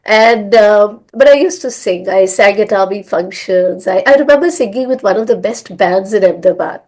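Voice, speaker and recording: A woman's voice: medium pitch, moderate pace, a little mellow. A middle-aged woman from India, telling her life story in a rambling, filler-filled way. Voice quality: hoarse. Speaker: guest, language school founder. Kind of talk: conversational storytelling.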